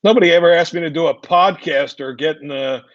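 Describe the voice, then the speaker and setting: A man in his forties with a deep, distinguished voice, talking as a guest in a casual interview and often repeating words as he starts his sentences. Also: fairly quick, dry recording. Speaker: guest, entertainment company CEO. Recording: dull lo-fi dry sound.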